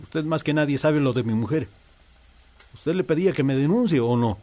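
An actor as a gruff, stubborn Bolivian father, higher-voiced. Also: animated, normal speed, dark tone, natural voice. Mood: defensive, irritated. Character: irritable, defensive father, hard-drinking and stubborn. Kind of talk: radio soap acting.

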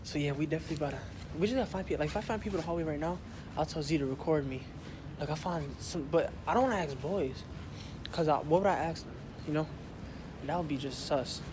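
A man's voice: a little high, fast talker, noisy background. A young man in a school hallway, vlogging casually into a handheld phone, rambling in loose slang with lots of fillers. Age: young adult. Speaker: vlogger, high school student. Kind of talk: casual vlog chat. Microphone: phone camera held close.

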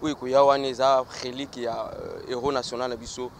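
Congolese interviewer guy, mid pitch, quick pointed questions, out on the street with faint traffic. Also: little pitch movement. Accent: Congolese French with Lingala. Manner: asks short, pointed questions. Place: outdoors on a busy city square. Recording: faint street noise outdoors.

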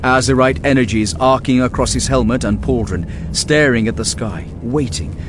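A man's voice: mid-range voice, moderate pace, background noise. A man reading dramatic fantasy narration in a grave, portentous manner as an audiobook narrator. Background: music.

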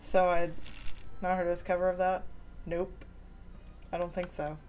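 Woman streamer, relaxed giggly chat, unhurried delivery, faint keyboard typing under the desk mic. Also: lowish voice, a little hiss or hum, low-quality recording. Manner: relaxed, giggly, chats with viewers. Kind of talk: casual chat while gaming.

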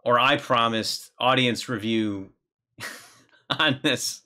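A man in his thirties talks casually as the co-host of a film podcast. His voice sits at an average male pitch and has a bright, forceful edge. The talk is informal and conversational. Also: takes it slowly. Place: small home room, indoors.

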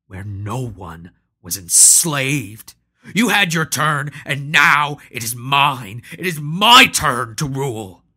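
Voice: medium pitch, big pitch swings, takes it slowly, bright, forceful tone, breathy edge, pitched above the performer's own voice, full character voice. A storyteller voicing a confident, defiant male master who spits back bitter retorts.

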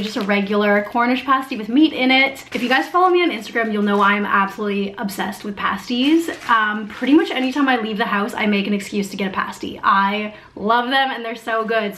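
A woman's voice: a little high, a little fast, few pauses, a bit bright, a little hiss or hum. A young woman from North America chatting casually in a vlog, upbeat and talkative, often using "like" as a filler. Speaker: vlogger.

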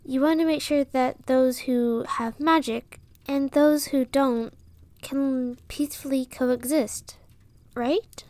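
Fantasy audio drama: brave young commoner girl voiced by a woman, high-pitched, earnest, pleading. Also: fairly even intonation, slow, a little mellow, dry recording. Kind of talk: fantasy audio roleplay acting.

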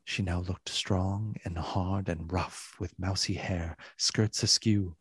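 An actor as the storyteller of a gothic radio play, narrating theatrically and deliberately in a gravelly, husky voice. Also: male voice, low-pitched, fairly even intonation, no echo, clean studio sound, heavily acted. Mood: somber. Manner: deliberate, theatrical, savoring repeated words. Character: male storyteller of a gothic tale. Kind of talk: dramatic scripted radio narration.

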